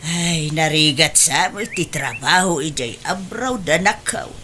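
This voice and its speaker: A low female voice from an actress plays an older mother who frets with care and doubt. The audio-drama recording has clear background noise. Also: lively intonation, unhurried. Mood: worried, caring. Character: worried, caring older mother.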